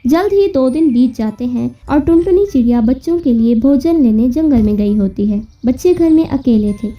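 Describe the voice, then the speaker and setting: A woman's voice: high voice, animated, normal speed, warm tone. A woman narrating a children's cartoon story as a scripted storyteller. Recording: muffled, distant-sounding, music behind.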